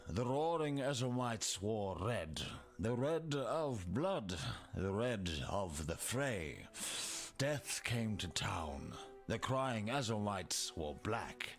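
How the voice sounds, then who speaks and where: An actor playing a mournful, proud doomsayer of a fallen empire in a video game, theatrical and incantatory. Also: male voice, on the low side. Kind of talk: scripted game character dialogue. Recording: music behind the voice.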